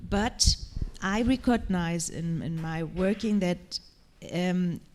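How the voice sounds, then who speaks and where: Lady doctor on a panel, lowish voice, lots of ums, hunting for words. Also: takes it slowly, a little mellow. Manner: hesitant, searching for English words. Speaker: physician and lecture panelist. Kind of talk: panel discussion.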